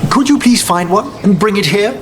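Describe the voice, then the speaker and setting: An older, gentlemanly necromancer scholar for a video game. His delivery is formal and genteel, with lively swoops in a slightly high register. Loud music plays behind him. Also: moderate pace. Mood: calm instructive. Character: courtly scholarly older male necromancer professor, gentle and fond of spirits.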